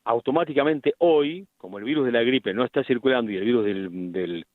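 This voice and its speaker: A middle-aged man with an Argentine accent, a medical specialist explaining things deliberately in a radio interview, with frequent restarts. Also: medium pitch, no room sound. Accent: Argentine Spanish. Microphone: phone line. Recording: tinny phone line, dry.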